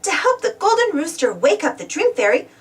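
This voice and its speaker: A woman's voice: very high-pitched, sing-song, a little fast, recorded from a distance. A woman reading a children's story aloud, exclaiming and putting questions to young listeners. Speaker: storybook reader. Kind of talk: animated children's read-aloud narration.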